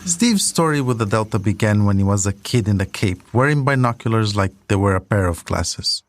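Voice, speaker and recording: A man's voice: on the low side, expressive, a little fast, a little hiss or hum. A man hosting a podcast, reading scripted documentary narration as a storyteller. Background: music.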